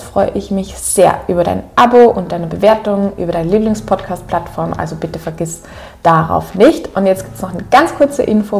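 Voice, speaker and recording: A woman's voice: mid-range voice, gravelly, darkish tone. A young woman with an Upper Austrian accent, hosting a podcast and chatting to listeners with frequent ums. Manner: chatty, frequent ums, friendly direct address. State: recovering from a cold. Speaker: podcast host. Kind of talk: solo podcast intro.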